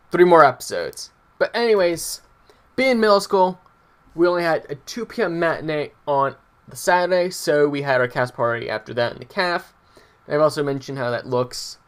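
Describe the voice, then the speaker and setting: A young adult man telling personal stories casually as a vlogger, hesitant and meandering, often breaking off mid-sentence. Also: fairly high pitch. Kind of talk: casual rambling personal storytelling.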